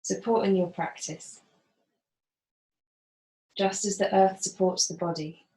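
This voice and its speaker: Woman doing a gentle yoga body scan, unhurried with pauses, echoey room sound. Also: mid-range voice, fairly even intonation. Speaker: yoga facilitator. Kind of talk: guided body scan meditation instruction. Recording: roomy, echoing voice.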